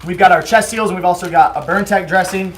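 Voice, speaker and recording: Chatty hoarse man presenting gear. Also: sits high, fast talker. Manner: joking, chatty, salesy. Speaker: host of a company livestream. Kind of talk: casual product livestream chat.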